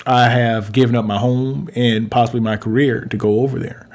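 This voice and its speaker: A man's voice: mid-range voice, fairly even intonation, moderate pace, darkish tone. Man musing aloud with many fillers. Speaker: relationship coach, co-host. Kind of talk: casual conversational chat.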